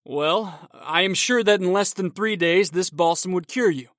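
A man's voice: high voice, moderate pace, fairly bright tone, dry recording, studio quality. A man reading the part of a young, polite swordsman newly arrived in the city, earnest and courteous. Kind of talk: audiobook character reading.

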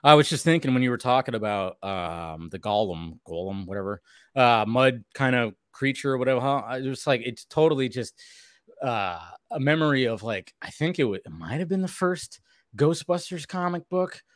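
A man's voice: mid-range voice, lively intonation, normal speed, dry recording, clean studio sound. A man co-hosting a casual discussion show, talking in a rambling, slangy way that wanders into tangents. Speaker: co-host of animated series discussion show. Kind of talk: casual chat.

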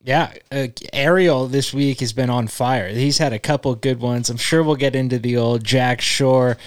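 A man's voice: mid-range voice, dry recording, clean studio sound. A young man hosting a casual podcast, easygoing and jokey, tossing questions to his friends. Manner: easygoing, asks questions, quick jokey asides. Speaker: podcast host. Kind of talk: casual chat.

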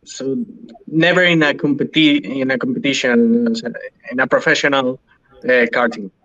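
A man from Spain with a Spanish accent, a podcast guest answering interview questions in halting English with many restarts. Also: mid-range voice, steady tone, fairly bright tone, muffled recording. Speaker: podcast guest, sim racing driver.

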